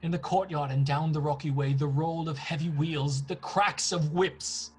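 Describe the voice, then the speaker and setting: An actor playing a fearful, desperate young English gentleman in a radio play, with a dramatic delivery. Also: a little high, fairly even intonation, normal speed, husky. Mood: dread. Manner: dramatic, fearful diary narration. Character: anxious, desperate young English solicitor imprisoned in castle.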